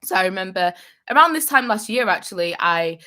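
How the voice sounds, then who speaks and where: A woman's voice: mid-range voice, expressive, fairly quick, bright, forceful tone. A young adult woman, a business coach, giving upbeat, encouraging advice on a live vlog and repeating phrases for emphasis.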